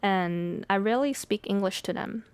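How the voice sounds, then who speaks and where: Smooth-voiced young woman, native Mandarin speaker. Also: medium pitch, moderate pace, no echo. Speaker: podcast host and writer. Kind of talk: reflective podcast monologue.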